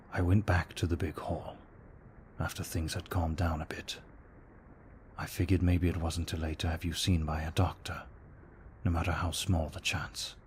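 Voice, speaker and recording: A man performs a brooding, courteous vampire for an ASMR roleplay, with a low, hushed voice that often sinks into breathy whispers. He speaks slowly and formally, in a narrow, controlled tone, as if holding back his hunger. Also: slight background noise. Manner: formal, deliberate, brooding delivery. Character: tormented, courteous male vampire fighting his bloodlust. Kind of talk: ASMR roleplay, slow intimate monologue.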